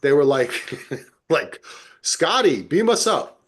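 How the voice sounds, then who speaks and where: A middle-aged American man from New Jersey chatting casually as a podcast co-host, telling things in an animated, profane way. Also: a little high, slow. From New Jersey, United States. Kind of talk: casual TV recap chat.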